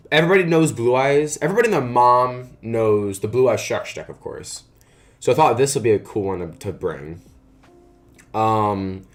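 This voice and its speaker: A young man with a lowish, raspy voice that still sounds like early morning. He talks loosely and casually into a desk mic, wandering through thoughts with plenty of filler words. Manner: rambling, lots of fillers like "uh" and "like". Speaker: card game streamer. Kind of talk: casual live-stream chat.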